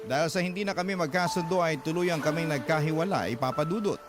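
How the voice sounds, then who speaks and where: Slightly high male storyteller over music. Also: quick pace. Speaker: radio drama narrator reading a letter. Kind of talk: dramatic scripted first-person narration. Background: clear background music.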